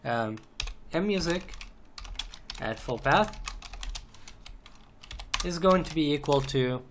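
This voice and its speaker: A man's voice: fairly high pitch, lively intonation, slow. A man narrating an unscripted, step-by-step screencast tutorial in a methodical way, repeating phrases as he goes. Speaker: programming tutorial instructor. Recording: noisy home recording, typing and clicks. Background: keyboard typing, mouse clicks, background noise.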